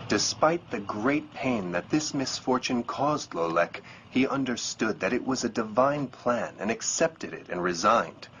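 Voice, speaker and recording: A man's voice: medium pitch, lively intonation, measured pace, hardly any pauses, a bit bright, rough recording. A man reading scripted biography narration as a narrator. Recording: clear background noise behind narration.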